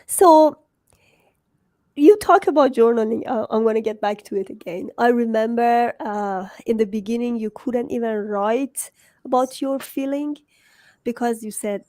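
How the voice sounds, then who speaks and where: A woman's voice: a little high, a little slow, mellow, no echo. A woman with a Persian accent, a native Farsi speaker, hosting a conversational interview. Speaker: host, book coach.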